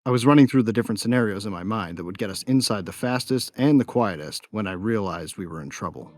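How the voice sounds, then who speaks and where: Low-voiced wry bounty hunter played by a man. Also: lively intonation, quick pace, close to an everyday voice. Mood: wry, watchful. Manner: wry, informal. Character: young sardonic male bounty hunter, hot-tempered narrator. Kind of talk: first-person scripted narration of an audio drama. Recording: music behind the voice.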